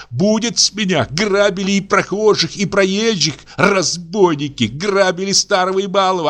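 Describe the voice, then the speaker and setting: A man voicing a furious young woman who shouts and sobs as she rails at her family. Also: high-pitched, voice pushed up. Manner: shouting, sobbing, bitter.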